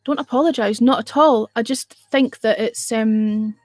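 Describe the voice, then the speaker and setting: Casting: middle-aged woman, chatty radio host, higher-pitched, dry close sound. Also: moderate pace, no room sound. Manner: chatty, rambling, answering viewer comments. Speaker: radio show host on a livestream. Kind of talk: casual livestream chat.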